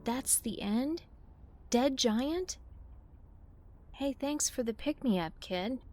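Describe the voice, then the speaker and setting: A young woman with a dry, sarcastic edge, talking slowly in a dark, mellow voice. There is a little gravel in her tone. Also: high voice, animated, soft background music, heavily acted. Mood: sardonic. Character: sardonic young woman troubled by family. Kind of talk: audio drama.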